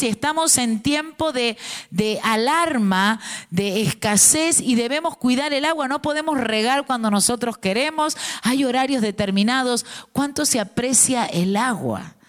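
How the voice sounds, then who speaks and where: A woman in her forties from Mendoza with an Argentine accent, preaching a sermon with fervent, drawn-out emphasis. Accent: Argentine Spanish. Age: 40s. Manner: fervent, drawn-out emphatic delivery with pitch swings.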